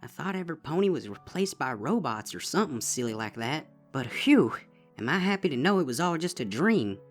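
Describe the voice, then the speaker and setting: A man's voice: on the high side, very animated, a little fast, soft background music, pitched above the performer's own voice. Man voicing a frightened young filly pony. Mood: anxious.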